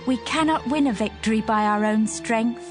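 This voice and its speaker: A woman's voice: on the high side, normal speed, lightly acted. An actress as a devout, grateful mother from the Bible, in an audio drama. She declaims with earnest reverence, and music plays prominently behind her. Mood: reverent, exultant. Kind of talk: dramatized scripture reading.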